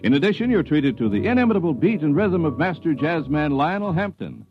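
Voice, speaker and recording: A muffled old radio broadcast with music behind it carries a male promo announcer. He has a fairly high, very animated voice and a polished 1950s selling style. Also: fairly quick. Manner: polished salesman delivery.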